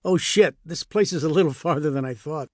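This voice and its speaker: A man's voice: on the high side, a little fast, darkish tone, no room sound, studio quality, natural voice. A man playing an easygoing, reassuring middle-aged dad in audiobook memoir dialogue, casual and offhand. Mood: amused, soothing.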